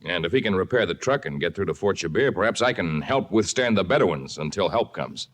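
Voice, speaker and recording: Steadfast male jungle hero, lowish and very expressive, formal, vintage radio adventure. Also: fairly quick. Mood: resolute. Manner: formal, stately wording. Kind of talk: old-time radio adventure acting. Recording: noisy old radio recording.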